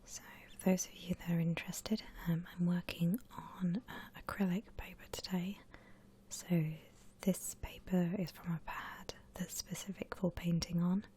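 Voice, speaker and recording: A woman softly whispering an ASMR drawing tutorial in a breathy, gentle, unhurried way, like an instructor. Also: fairly low pitch, little pitch movement. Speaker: ASMR art channel host.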